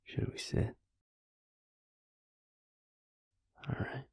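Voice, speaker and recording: A man playing a protective, devoted vampire lover in an intimate romantic audio roleplay, speaking gently and unhurriedly in a husky, breathy near-whisper. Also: normal speed, dry recording, studio quality, lightly acted. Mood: gentle, apologetic.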